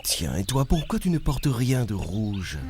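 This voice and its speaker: A friendly grown-up storyteller in a children's cartoon. His voice rises and falls with animation as he tells stories. Music plays clearly underneath. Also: medium pitch, unhurried. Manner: warm, lively storytelling. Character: friendly adult male host, Kingsley.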